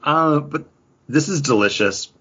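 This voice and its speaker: A man's voice: on the high side, animated, measured pace, bright, forceful tone, breathy edge, muffled recording. A man hosting a podcast in an enthusiastic, casual, chatty way. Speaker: podcast host. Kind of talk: casual chat.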